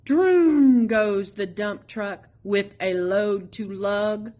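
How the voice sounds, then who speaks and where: A woman reading a children's picture book aloud expressively, in a sing-song, drawn-out way with playful emphasis on sound words. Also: medium pitch, warm tone. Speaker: storybook reader at a public library.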